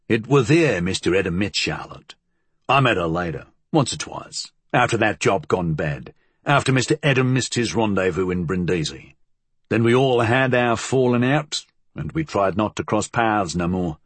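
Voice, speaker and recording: A man voicing a huge, shaggy, wary old crook in an audiobook, with a rough dialect. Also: lowish voice, no echo. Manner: rough dialect, slow and appraising.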